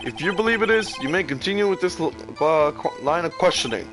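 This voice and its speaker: A man voicing a stern old male judge from a video game, formal, solemn and deliberate. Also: fairly high pitch, animated, music in the background, natural voice. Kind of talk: video game character voice-over.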